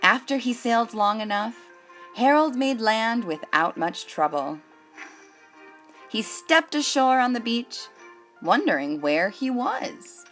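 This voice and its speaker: Female storytime host for young kids, unhurried, highly animated delivery. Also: faint music behind. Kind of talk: expressive picture-book read-aloud for children.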